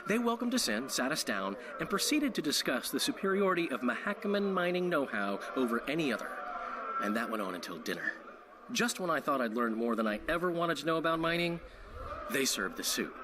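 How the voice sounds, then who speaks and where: A man playing an excitable, gossipy bard, telling tales dramatically in a fantasy game. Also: on the high side, a little fast, background noise. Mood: animated, gossipy. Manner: animated, dramatic storyteller. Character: excitable, gossipy, florid adult male bard.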